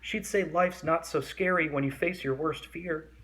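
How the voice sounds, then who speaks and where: An eager young boy, as a man reading a storybook puts him on, anxious but brave. He sounds pleading and earnest and lifts his voice a little higher than the plain narration. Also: moderate pace, fairly bright tone, natural voice, low-quality recording.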